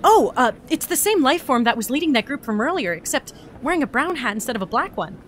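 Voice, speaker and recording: A woman's voice: high-pitched, very animated, fast, a bit bright, a little hiss or hum. A woman playing a bewildered, politely exasperated young otherworldly newcomer in an audio drama, hesitant and dryly sarcastic. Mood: confused. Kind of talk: audio drama acting with log narration.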